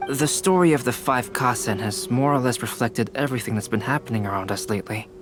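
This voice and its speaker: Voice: medium pitch, brisk, over music, natural voice. A polite, thoughtful character in a game cutscene, a little nervous.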